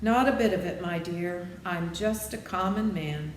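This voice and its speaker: A woman's voice: fairly low pitch, a little slow, reverberant, long reverb tail, a little hiss or hum, lightly acted, poor audio. A woman voicing a meek little humbug wizard, a trembling, apologetic man in a storybook reading. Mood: meek, admitting. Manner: meek, pleading.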